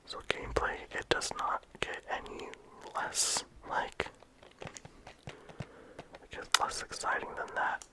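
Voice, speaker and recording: A game reviewer talking casually in a soft ASMR whisper, with frequent "like" and "um" fillers. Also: slow pace, pauses often. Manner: soft unvoiced whisper throughout.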